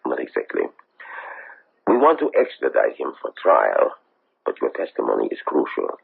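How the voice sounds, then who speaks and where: An actor playing a solemn, insistent government investigator from abroad in a 1970s radio drama, with formal, deliberate, pleading phrasing. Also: male voice, rumbling low, wildly swooping pitch, creaky, breathy edge, full character voice. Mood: measured, dry. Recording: muffled tinny old radio broadcast.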